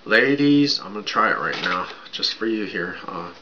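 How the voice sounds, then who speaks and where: A raspy man in his thirties rambling casually at his webcam in a small bedroom, with lots of fillers while he drinks. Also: fairly low pitch, animated, moderate pace. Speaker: vlogger. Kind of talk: casual show-and-tell vlog. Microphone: webcam at desk distance. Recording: dull lo-fi sound with background noise.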